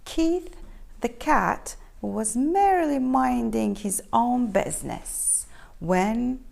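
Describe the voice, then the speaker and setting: A woman reading a picture book aloud to children in an expressive, animated storytelling style, inviting them to join in. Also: fairly high pitch, slow pace, vocal fry. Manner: expressive, asks the listening children questions.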